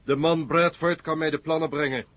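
An actor in a 1970 radio drama playing a cold, commanding alien machine brain, curt and imperious. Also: male voice, fairly high pitch, fairly even intonation, fairly quick, fairly bright tone, dry recording, natural voice. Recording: muffled old radio recording.

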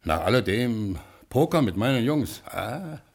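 A man's voice: medium pitch, big pitch swings, unhurried, gravelly, full character voice. An actor playing an anxious, blustering American gangster boss in a 1960s German radio play, stammering and grumbling as he repeats himself. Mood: defensive, sulky.

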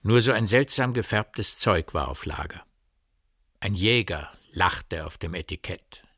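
A man narrating a radio drama as a literary storyteller, in a measured manner. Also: low-pitched, animated, fairly bright tone, dry recording, studio quality, heavily acted. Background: music.

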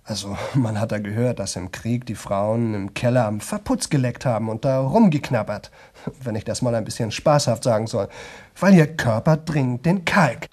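A man's voice: medium pitch, animated, normal speed, a little mellow, close to an everyday voice. An actor playing a chatty young man who rambles nonstop to an audience in a radio play, colloquial, digressive and often interrupting himself. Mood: chatty, musing. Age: young adult. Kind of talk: 1970 German radio drama monologue.

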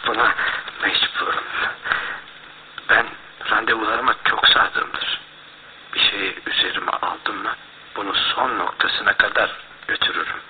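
An actor in a radio play playing a meek, troubled, evasive lodger who speaks haltingly and stammers. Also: male voice, fairly low pitch, fairly even intonation, natural voice. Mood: troubled, insistent. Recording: noisy, lo-fi, phone-like old radio broadcast.

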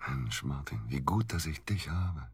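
A man's voice: expressive, measured pace, no echo, full character voice. An actor playing a cornered, sardonic murder suspect in a radio drama, needling his friend in with dry irony. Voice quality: very deep, gravelly. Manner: dry, ironic, taunting. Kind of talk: German radio drama acting.

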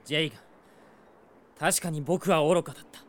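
An actor playing an earnest, headstrong young prince in a fantasy audio drama, delivered with dramatic intensity. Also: male voice, on the high side, fairly quick, a bit bright, natural voice. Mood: remorseful, anguished. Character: earnest, headstrong young male prince, anguished for his friends.

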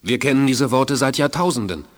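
An actor playing a dignified, wary male ruler of an alien people in a radio play, measured and solemn. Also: mid-range voice, expressive, lightly acted. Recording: long hall reverb.

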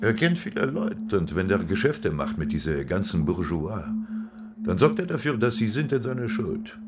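An actor playing a defiant, mocking small-time French diamond courier in a radio crime drama, with a French accent. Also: male voice, on the low side, big pitch swings, moderate pace, loud music behind the voice. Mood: wary, cool.

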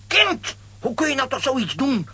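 An actor in a children's radio play voicing a boastful, excitable grown comic hero who stammers and exclaims bombastically. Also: high-pitched, unhurried, slightly rough, full character voice. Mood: panicky, heroic.

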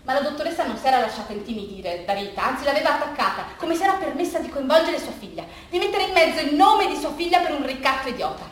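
High-voiced woman storyteller in an echoey room. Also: very animated. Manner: dramatic, halting repetitions. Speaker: monologue performer. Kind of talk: theatrical monologue storytelling. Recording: very echoey room with faint noise.

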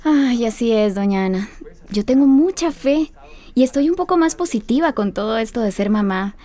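A woman's voice: on the high side, animated, normal speed, mellow, natural voice. Actress as a friendly young Costa Rican mother-to-be. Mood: anxious, hopeful. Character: friendly, anxious young pregnant woman, caring and brisk. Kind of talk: radio soap opera acting.

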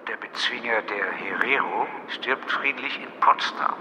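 A man's voice: lowish voice, measured pace, sharp tone. A man reciting scripted lines as one narrator in an audio drama. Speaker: chorus narrator. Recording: long reverb, faint outdoor street noise.

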